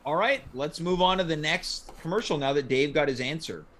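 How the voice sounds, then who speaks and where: A man's voice: a little high, brisk, a bit bright, slight background noise. A man hosting a casual panel chat. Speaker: talk show host.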